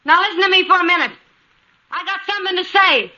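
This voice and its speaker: An actress playing a frank, spirited saloon owner in a vintage radio western. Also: female voice, extremely high pitch, quick pace, bright, forceful tone, exaggerated voice. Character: bold, warm-hearted female saloon owner. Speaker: saloon keeper. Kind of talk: 1950s radio drama acting. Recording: dull lo-fi vintage radio recording with music.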